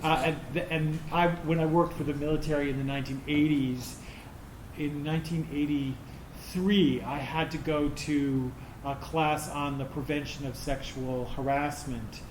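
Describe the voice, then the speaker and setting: A middle-aged or older man answering audience questions informally as a theater director, haltingly searching for words. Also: fairly high pitch, steady tone. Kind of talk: informal post-show Q&A. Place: roomy indoor performance space. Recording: echoey room with clear background noise.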